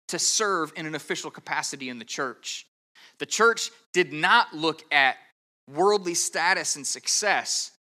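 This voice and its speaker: Bright-voiced man teaching a sermon. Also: high voice. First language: English. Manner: earnest, methodical teaching with occasional stammered restarts. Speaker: pastor. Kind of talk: expository sermon.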